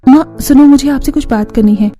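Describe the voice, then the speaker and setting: A woman's voice: high voice, fairly quick, mellow, soft background music, lightly acted. A woman playing an outspoken teenage schoolgirl daughter in a family cartoon, earnest and pleading. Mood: earnest protest. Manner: earnest, protesting. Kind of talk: Hindi cartoon family drama acting.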